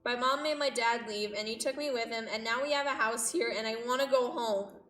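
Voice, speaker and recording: A woman's voice: on the high side, fairly quick, fairly bright tone, natural voice. A woman voicing a sad, homesick young boy as she reads visual novel dialogue aloud. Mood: sad, tearful. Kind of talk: reading game dialogue aloud. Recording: roomy echo, distant mic, music behind.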